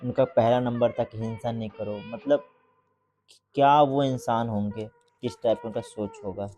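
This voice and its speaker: A man from Bihar gives casual, informal vlog commentary, reading English phrases aloud haltingly. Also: medium pitch, fairly even intonation, warm tone, lo-fi sound, a little hiss or hum. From Bihar, India. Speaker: vlogger.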